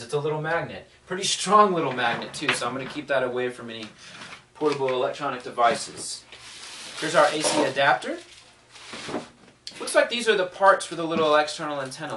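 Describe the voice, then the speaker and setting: A man giving a casual unboxing review, thinking aloud in a conversational way. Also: medium pitch. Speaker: product reviewer. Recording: distant mic, faint noise, clicks.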